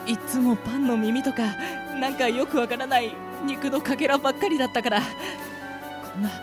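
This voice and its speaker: A woman's voice: high voice, moderate pace, over music, lightly acted. A woman playing an earnest, pleading young boy prisoner in a radio drama. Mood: tearfully moved. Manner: expressive, boyish, protesting. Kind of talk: amateur radio drama acting.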